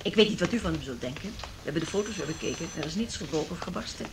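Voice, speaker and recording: Radio drama: sceptical nurse, low voice, rapid plain-spoken delivery, noisy recording. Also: female voice, lively intonation. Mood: brisk, sceptical. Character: forthright, sceptical female hospital nurse. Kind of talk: Dutch radio play acting.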